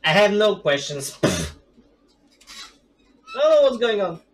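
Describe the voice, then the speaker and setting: A man's voice: high voice, sing-song, measured pace, soft background music, rough recording. A man in his thirties casually commentating a strategy game from a gaming chair at home, rambling and laughing at himself. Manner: rambling, chatty, self-deprecating, laughs often. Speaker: gaming streamer. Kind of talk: casual let's play commentary.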